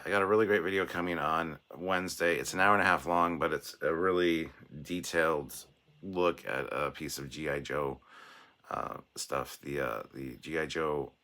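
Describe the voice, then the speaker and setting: Very deep-voiced man with a sore throat. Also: pushed and bright. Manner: rambling and chatty, with restarts and self-deprecating asides. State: sore throat, voice hoarse and cracking. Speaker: livestream host. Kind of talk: casual livestream chat, reading out viewer comments.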